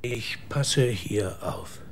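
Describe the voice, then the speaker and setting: A gravelly, whispering giant. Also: male voice, a little hiss or hum. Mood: hushed, brooding. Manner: slow, low, whispered menace. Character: massive, brooding older man guarding a warehouse. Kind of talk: radio drama acting.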